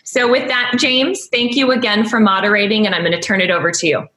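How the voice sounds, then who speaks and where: A woman's voice: medium pitch, steady tone, brisk, bright, forceful tone. A woman opens an online webinar and introduces the moderator in an upbeat, scripted way. Her voice sits in a medium range. The sound has a noticeable room echo. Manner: upbeat, reads from notes. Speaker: webinar host. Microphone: video call. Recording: echoey room.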